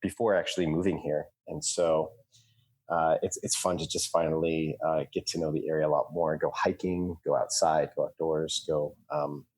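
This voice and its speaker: A man co-hosting a podcast, talking casually in halting phrases with many restarts. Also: very deep voice, little pitch movement, a little mellow, rough recording. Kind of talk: casual chat.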